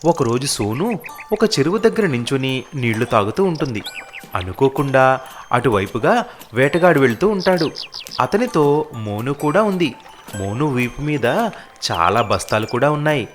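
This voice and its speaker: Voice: medium pitch, sing-song, normal speed, pauses often, faint music behind. A storyteller narrating a scripted animal fable for children.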